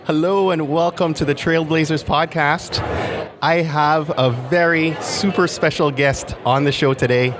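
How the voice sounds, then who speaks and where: A man hosting a podcast, telling personal stories and interviewing in an informal, excited manner. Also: a little high, unhurried, a crowd in the background. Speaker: podcast host.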